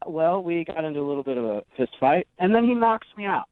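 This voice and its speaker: A man's voice: a little high, big pitch swings, quick pace, a crowd in the background. A man calling a radio show over the phone, telling his story in a casual conversational way. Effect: phone line. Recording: dry, narrow phone line.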